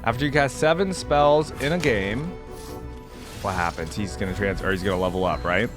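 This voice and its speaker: A man's voice: fairly low pitch, moderate pace, music in the background. A man hosting a reaction video, commenting casually and informally as he thinks aloud.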